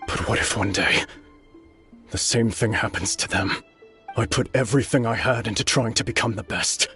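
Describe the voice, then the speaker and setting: An actor playing a broken, guilt-ridden old swordmaster in a game, speaking in an anguished, pleading way. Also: male voice, fairly low pitch, normal speed, pushed and bright, soft background music.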